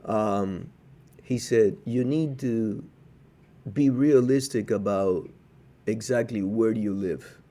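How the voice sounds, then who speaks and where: A man from Cuba co-hosting a podcast, talking in a measured, cautionary way with frequent hesitations. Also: on the low side, mellow. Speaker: podcast co-host. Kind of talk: casual podcast chat.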